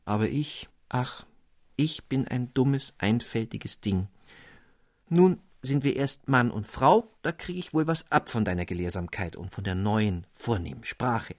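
A man's voice: mid-range voice, expressive, unhurried, darkish tone, no echo, studio quality. A man voicing a cheerful, simple young noblewoman in a radio reading of a fairy tale, with eager exclamations. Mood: fond.

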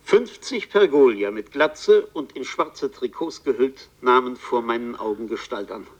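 A man's voice: medium pitch, expressive, a little slow, dull audio. An actor playing a rueful bookseller who tells his own story as a radio play narrator, wry and earnest. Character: rueful male bookseller recounting his own strange adventure.

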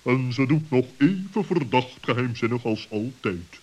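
A man playing a grave, suspicious but caring owl in a children's radio play, speaking in a stern, deliberate manner. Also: medium pitch, animated, a little mellow, slightly rough. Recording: dull old radio recording.

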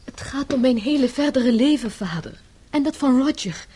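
A pragmatic grown daughter held captive in a radio play. Her voice is dark and husky, and she talks with firm, earnest composure. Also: high-pitched, normal speed. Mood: pleading, insistent. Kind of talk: radio drama acting.

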